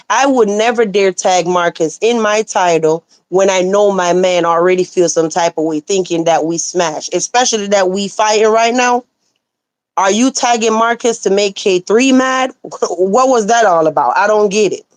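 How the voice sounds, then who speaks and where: A woman livestreaming casual commentary, animated and sassy, answering viewer comments, her voice a little hoarse. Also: medium pitch, no room sound. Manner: animated, sassy, talks back to chat comments. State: slightly hoarse. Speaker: livestreamer reading chat.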